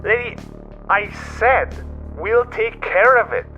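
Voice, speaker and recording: A man's voice: sits high, animated, takes it slowly, bright, forceful tone, full character voice. A man playing a world-weary city police desk officer in a radio drama, brusque and patronizing. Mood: dismissive, impatient. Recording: dull radio-like sound, music behind.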